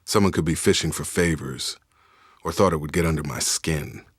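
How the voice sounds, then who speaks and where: An actor playing a steady, dutiful space operative in a video game, with a clipped, matter-of-fact military delivery. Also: male voice, extremely low pitch, moderate pace, breathy edge, no echo, clean studio sound, exaggerated voice. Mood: steady, serious. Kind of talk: scripted video game dialogue.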